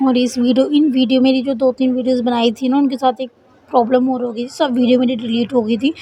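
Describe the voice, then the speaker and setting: A young woman with a sore, hoarse throat, chatting to her viewers in a voice-over for her travel vlog. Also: high voice, fairly even intonation, fast, dark tone. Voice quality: husky, cracked voice. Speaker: vlogger. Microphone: voice-over recorded separately.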